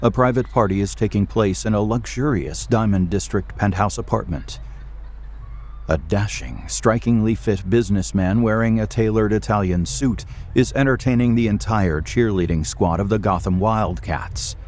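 A man's voice: lowish voice, normal speed, darkish tone, slight background noise. A man reading scripted narration for an audio drama.

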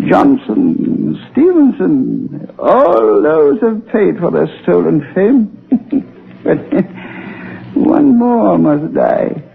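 An actor playing a crazed, vengeful and servile old watchman in a 1930s radio thriller, halting and given to cackling. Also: high voice, gravelly, breathy edge, exaggerated voice. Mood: bitter, gloating. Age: older. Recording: muffled 1930s radio recording.

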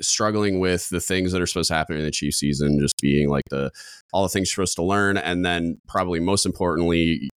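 Deep booming man, dry close mic. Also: clean tone, dry recording. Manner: loud, comes on strong, many restarts and fillers. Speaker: podcast co-host. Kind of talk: casual discussion.

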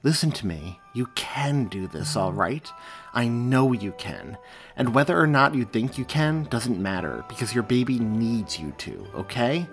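A narrator voicing a gentle young man who speaks in a quiet, soothing way. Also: mid-range voice, very animated, unhurried, soft background music.

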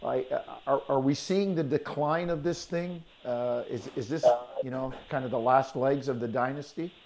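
A man's voice: mid-range voice, steady tone, normal speed, darkish tone. A man co-hosting a sports podcast, interviewing conversationally with long, discursive questions. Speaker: podcast co-host.

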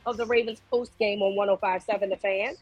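A woman co-hosting a sports podcast, talking in a hoarse, raspy voice in casual, animated chat and often repeating herself as she thinks aloud. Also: medium pitch, a little fast, faint music behind.

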